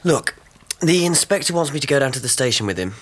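A man's voice: mid-range voice, animated, fast, a bit bright. A man playing an earnest, solicitous young English husband in a radio drama, plain-spoken in manner. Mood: concerned. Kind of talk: British radio drama acting.

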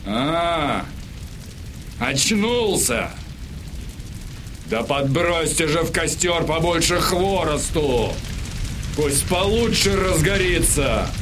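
An actor playing a cruel, gloating Polish military captor in a radio drama, commanding and mocking. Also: male voice, fairly high pitch, heavily acted. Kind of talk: Russian radio drama acting. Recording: clearly noisy, crackling background.

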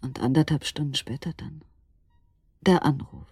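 An actress playing an anguished middle-aged wife on a night vigil at her husband's hospital bed, breathy, halting and brooding, in an intimate radio drama monologue. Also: sits low, warm tone, no echo, studio quality. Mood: weary, brooding. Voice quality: breathy, often dropping to a whisper. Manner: halting, brooding, addressing someone who cannot hear.

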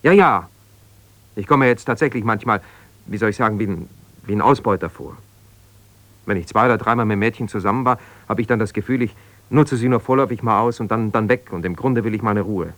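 A man's voice: fairly low pitch, brisk, a bit bright, dry recording, lightly acted. Man acting a hesitant, defensive, wounded husband. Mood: earnest, searching. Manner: hesitant, searching, self-justifying, conversational. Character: defensive, wounded husband pouring out his troubles to a confidante. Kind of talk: naturalistic 1970s German radio drama monologue.

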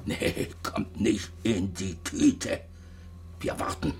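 An actor playing a guilt-laden, evasive older widower in a radio drama, clipped and muttering, with a breathy voice that often sinks near a whisper. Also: male voice, sits low, darkish tone, a little hiss or hum. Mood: stubborn, evasive. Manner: halting, clipped, muttering colloquially. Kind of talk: German radio drama acting.

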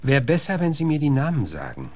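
A man's voice: mid-range voice, wildly swooping pitch, brisk, darkish tone. A man playing a blunt, suspicious American marshal in a German radio drama, firing curt, coarse questions. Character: gruff, blunt, suspicious male US marshal interrogating suspects.

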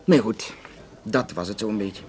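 An actor playing a courteous, flirtatious Flemish police detective in a naturalistic radio drama, speaking hesitantly with dry humour. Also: male voice, on the low side, unhurried, darkish tone, lightly acted. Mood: matter-of-fact, grim. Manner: hesitant, dryly joking, conversational.

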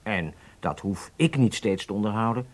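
An actor playing a strict, confident riding instructor in a radio drama, commanding and insistent. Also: male voice, fairly low pitch, animated, normal speed. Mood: calculating, measured. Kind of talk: Dutch radio drama acting.

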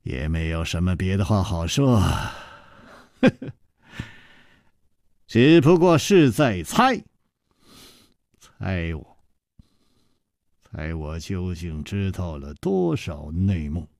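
A man voicing a calm, wry young male hero who speaks low and softly. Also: slow, long pauses, no echo, studio quality, heavily acted. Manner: low, soft, understated.

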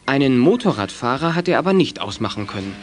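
A man's voice: medium pitch, sing-song, fast, over music. A man reading scripted narration for a German children's radio play.

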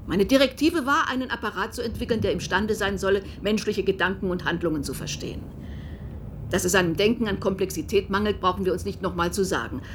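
A woman's voice: mid-range voice, fast, slight background noise, natural voice. Blunt, weary female scientist played by an actress. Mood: firm, defensive. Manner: blunt, firm, matter-of-fact. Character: weary, defiant female chief robotics engineer. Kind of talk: German radio play acting.

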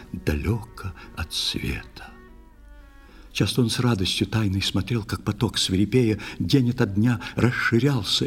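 A man narrating a poetic tale for an audio play in a lyrical, measured, expressive storytelling manner. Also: sits low. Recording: music under the voice. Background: musical instruments playing.

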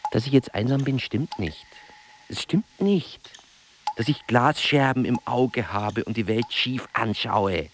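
A lonely, disillusioned man in a literary radio play. His medium-pitched voice is sober and understated, with measured phrasing and a faint noise behind it. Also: expressive. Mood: defiant, wounded. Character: reserved, disillusioned solitary man, quietly attentive listener. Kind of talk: restrained literary radio drama acting.

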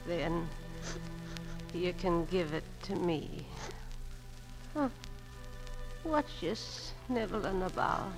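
An actress playing a frail, dying old lady in a 1940s radio drama, gruff but fond, speaking in terse, halting phrases. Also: fairly low pitch, expressive, exaggerated voice, poor audio. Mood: tender, gruff. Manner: slow, terse, halting delivery. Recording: old 1940s radio recording, music underneath.

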